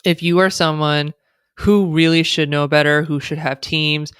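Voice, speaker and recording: American woman, smooth clear voice, dry close sound, thoughtful explainer. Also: low voice, steady tone, normal speed, fairly bright tone, dry recording. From United States. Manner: thoughtful, speaks in long explanatory runs with fillers. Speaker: guest, diversity consultant. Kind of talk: conversational interview.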